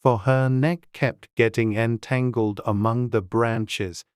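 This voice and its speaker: An audiobook narrator reading a classic children's story in a measured, gentle way. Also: medium pitch, steady tone, clean tone, no room sound, studio quality.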